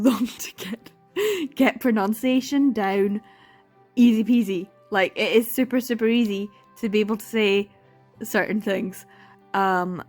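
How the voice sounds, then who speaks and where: A young woman in a casual reading vlog, talking through a manga with exasperated sighs, laughs and dramatic repetition. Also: fairly high pitch. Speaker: book vlogger.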